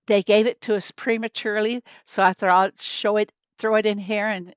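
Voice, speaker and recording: A hoarse woman streaming a mobile game, chatting casually and cheerfully with her viewers. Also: medium pitch, no room sound. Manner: chatty, upbeat, laughs often, talks to chat. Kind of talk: casual livestream chat to viewers.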